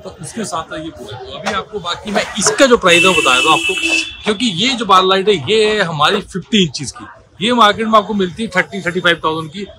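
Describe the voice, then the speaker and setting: A high-pitched male voice moves quickly from part to part, selling car accessories in a shop. He talks like a pushy salesman, keeps calling the viewer brother, and quotes sale prices. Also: noisy background. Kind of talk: sales pitch walkthrough video.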